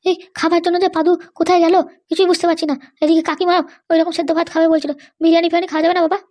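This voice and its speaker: A woman's voice: very high-pitched, level tone, rapid-fire, a little mellow. A woman voicing a scheming, fretful young woman who chatters to herself in an amateur comedy cartoon. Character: scheming young woman plotting around her secret boyfriend.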